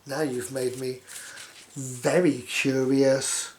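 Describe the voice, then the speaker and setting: A man's voice: mid-range voice, little pitch movement, takes it slowly, slight background noise, natural voice. A man voicing an endlessly wondering little female character from a children's storybook.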